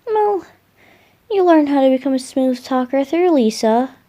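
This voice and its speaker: Slow, soft boyish wind god voiced by a woman. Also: sits high, expressive. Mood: teasing, affectionate. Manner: soft, musing, hesitant, affectionate. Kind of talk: roleplay ASMR, intimate one-sided dialogue to a listener.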